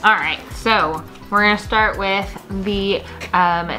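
A woman's voice: medium pitch, slow, bright, forceful tone, faint music behind. A woman in her thirties chatting casually and enthusiastically to camera as a gardening vlogger in her kitchen. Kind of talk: casual chat to camera, showing seed packets. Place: home kitchen, indoors.

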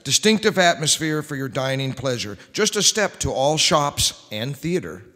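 A man's voice: fairly high pitch, big pitch swings, moderate pace, bright, forceful tone. A middle-aged man hosting as a formal announcer, reading scripted copy in old-time radio style. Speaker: radio show host and announcer. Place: large church-like hall on stage, indoors. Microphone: stand microphone at lectern. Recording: reverberant hall.

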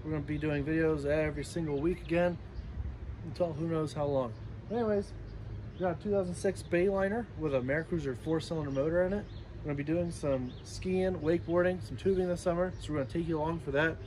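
A man's voice: fairly high pitch, fast talker, darkish tone. A man in his thirties with an American accent, talking casually and in a folksy way as a vlog host, outdoors on a handheld camera. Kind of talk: casual vlog tour talk. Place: outdoors in a residential yard. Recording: noisy outdoor action-camera audio.

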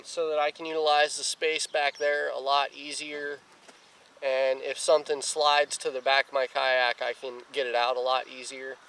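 Higher-pitched man, noisy outdoor recording. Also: a bit bright. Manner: plain-spoken, step-by-step. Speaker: outdoor vlogger. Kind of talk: casual explanatory vlog talk. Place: outdoors, grassy yard and lakeside. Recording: outdoor camera with clear background noise.

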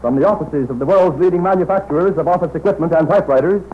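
A man's voice: fairly high pitch, rapid-fire, slight background noise. A man announcing and narrating dramatized news in a declamatory 1930s radio style. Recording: muffled 1930s radio broadcast recording.

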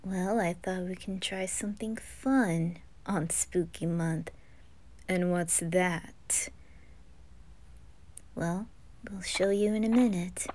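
A woman voicing a cool, sly boy in an anime fan roleplay, quiet, low-key and unhurried. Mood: calm, secretive.

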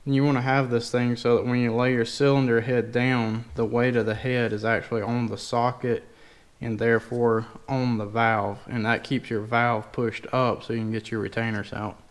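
A man's voice: medium pitch, little pitch movement, normal speed. A plainspoken man narrating a hands-on mechanical tutorial in a casual, informal way, as a DIY mechanic in a garage. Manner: plainspoken, informal, folksy wording. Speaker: DIY mechanic demonstrating a tool. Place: indoor garage workshop.

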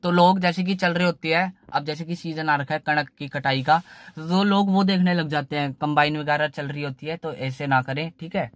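A man's voice: a little high, steady tone, no echo. Young man with a lilt, chatting loosely. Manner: loose, repetitive, fills every pause with "theek hai". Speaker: car channel host. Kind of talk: casual how-to talk in a parked car. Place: inside a parked small car.

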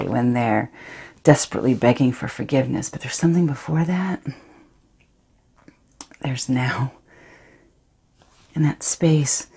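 Casting note: a woman doing a defiant young woman, very low gravelly voice, intimate and half-whispered, a bit of a cold. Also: mellow, full character voice. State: has a cold. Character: defiant young woman, unrepentant after a secret night. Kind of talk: audition monologue.

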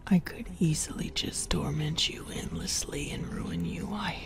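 A woman voicing a teasing, possessively affectionate female sleep paralysis demon in an ASMR roleplay, with a breathy, playfully menacing whisper. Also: low-pitched, unhurried. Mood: tender menace. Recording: music behind the voice.

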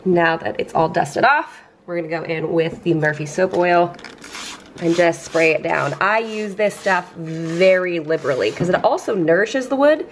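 A woman in her thirties chatting casually as she cleans her home on camera, self-deprecating and quick to laugh. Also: lowish voice, a little hiss or hum. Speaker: lifestyle vlogger. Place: kitchen and entryway of a house, indoors.

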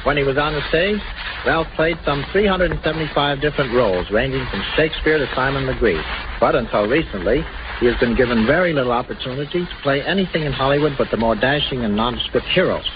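A man with a mid-pitched voice hosts a scripted 1930s radio interview. The recording is dull and clearly noisy. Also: animated, fast.

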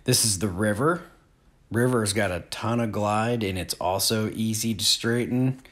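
Low-voiced man teaching outdoors. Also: a little slow. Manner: relaxed, explanatory, plain-spoken. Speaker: disc golf instructor. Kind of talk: outdoor instructional talk. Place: open field outdoors.

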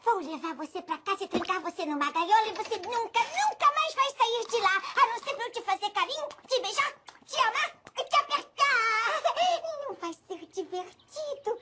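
A woman's voice: extremely high pitch, expressive, normal speed, very noisy. A woman voicing a gushing, smothering little cartoon girl with a Brazilian accent. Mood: gleeful, smothering. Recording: cartoon soundtrack under loud music.

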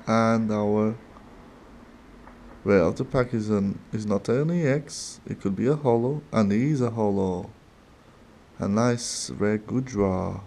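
A man with a non-native English accent talks slowly in a low, mellow voice while he opens card packs at his desk. His speech is halting and chatty, with restarts and little laughs, and a faint room hum sits behind him. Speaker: card-opening channel host. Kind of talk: casual card-opening commentary.

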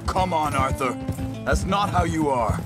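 An actor playing a calm, compassionate and watchful Wild West tracker in a video game, with an American accent. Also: male voice, fairly high pitch, measured pace, over loud music, close to an everyday voice. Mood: earnest, urging. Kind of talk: naturalistic video game acting.

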